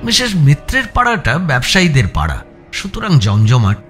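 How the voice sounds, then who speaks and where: Male narrator, dramatic suspense storytelling, lively wide-ranging intonation, measured delivery, faint background music. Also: fairly high pitch. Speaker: story narrator, also voicing the protagonist.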